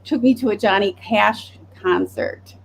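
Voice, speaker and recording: A woman from Wisconsin hosting a podcast, chatting casually and telling animated stories. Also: medium pitch, measured pace, creaky, slightly rough, low-quality recording. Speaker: podcast host. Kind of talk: casual chat.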